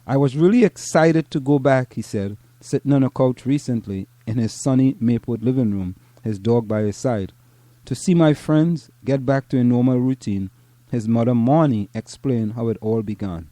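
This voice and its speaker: A middle-aged man from Trinidad and Tobago with a Trinidadian accent, co-hosting a casual talk show, reading a news article aloud and then chatting, often halting and repeating words. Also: medium pitch, mellow, dry recording. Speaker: podcast co-host.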